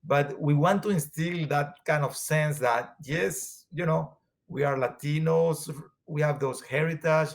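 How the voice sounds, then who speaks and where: A man with a Venezuelan Spanish accent talks as a guest in a conversation. His voice sits fairly high, and he speaks earnestly, pausing and restarting with fillers as he looks for words. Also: vocal fry. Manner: earnest, halting, with frequent fillers. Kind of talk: conversational interview.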